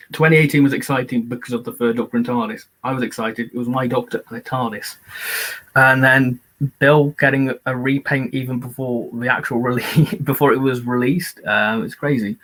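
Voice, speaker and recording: Rambling, hoarse man chatting on a live-stream. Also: mid-range voice, fairly bright tone, poor audio. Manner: rambling and enthusiastic, many fillers. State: losing his voice. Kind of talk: casual live-stream chat.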